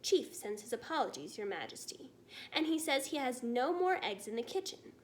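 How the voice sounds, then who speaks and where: Lady doing a discreet storybook butler, hushed and apologetic, very deferential. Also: high voice, sing-song, moderate pace, slight background noise.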